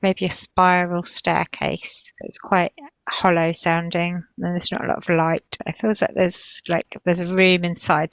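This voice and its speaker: Woman doing halting trance-like narration, kinda low voice, dry close sound, feeling her way through images. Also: no echo, rough recording. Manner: tentative, halting, searching for images. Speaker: healer describing visions in a session. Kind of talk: slow, improvised trance narration.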